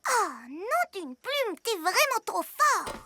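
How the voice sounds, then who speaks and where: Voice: very high voice, big pitch swings, slow pace, exaggerated voice. An actor playing an earnest, childish little superhero in a children's cartoon, talking in an insistent, childlike manner. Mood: impressed. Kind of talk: French-dubbed children's cartoon acting. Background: loud children's music.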